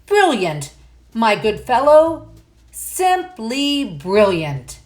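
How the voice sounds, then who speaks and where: A woman voicing a polite, fussy male troll from a storybook, with a genteel, emphatic, drawn-out delivery. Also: on the high side, very animated, faint music behind, voice pushed up, heavily acted. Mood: emphatic delight.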